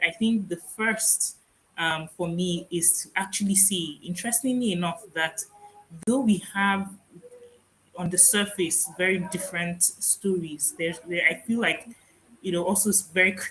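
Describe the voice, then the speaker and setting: A woman's voice: lowish voice, normal speed, fairly bright tone, poor audio. A woman hosting a podcast interview, from Nigeria with Igbo as her first language, talking reflectively and laughing often. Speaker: podcast host. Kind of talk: conversational interview.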